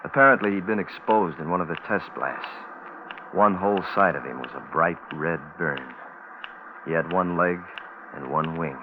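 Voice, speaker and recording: An actor playing a terse Navy photographer in a radio suspense drama, with a clipped, grave, measured delivery. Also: male voice, low-pitched, natural voice. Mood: grave, tense. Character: terse Navy photographer man stranded alone on a bomb-test island. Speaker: lead actor and narrator. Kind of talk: radio suspense drama, first-person log narration. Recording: muffled, noisy vintage radio broadcast recording.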